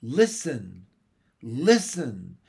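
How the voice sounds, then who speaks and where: A man's voice: mid-range voice, wildly swooping pitch, drawn-out words, vocal fry, warm tone, husky, heavily acted. A man voicing a wilful, upset baby boy in a storybook, with a plaintive manner. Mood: wondering. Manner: plaintive, wailing.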